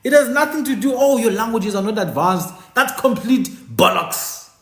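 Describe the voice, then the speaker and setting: A man's voice: very high voice, expressive, moderate pace, fairly bright tone. Passionate South African man, echoey room. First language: a South African language, not English. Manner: passionate, exasperated, rhetorical. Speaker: host. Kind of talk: casual monologue.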